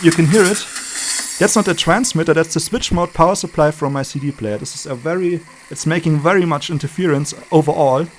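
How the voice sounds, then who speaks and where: A man giving an unscripted hands-on electronics demonstration as a hobbyist, thinking aloud in short, halting remarks. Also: a little high, slight background noise.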